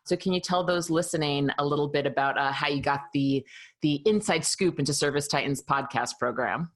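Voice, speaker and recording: Woman podcast host, pretty low voice, dry close sound, upbeat. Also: a little fast, no echo. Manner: upbeat and eager. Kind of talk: interview hosting.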